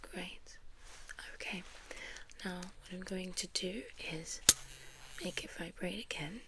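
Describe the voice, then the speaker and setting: Slow, whispering South African woman. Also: on the low side, noisy background. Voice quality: soft, breathy whisper. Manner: gentle, reassuring step-by-step instructions. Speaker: ASMR creator playing a medical examiner. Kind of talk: soft-spoken whispered ASMR medical roleplay.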